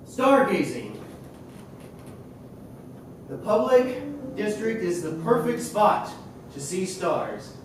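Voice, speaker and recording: A stage actor playing a smooth-talking, scheming circus magician in a theatrical play. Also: mid-range voice, sing-song, takes it slowly, pauses often. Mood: persuasive. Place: indoor theater stage, distant mic. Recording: echoey theater stage from far off, faint noise.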